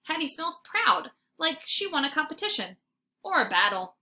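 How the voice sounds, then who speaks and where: A woman narrates a children's story like a teacher reading to a class. Her voice is fairly high, bright and very animated, with dramatic emphasis on key words. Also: normal speed.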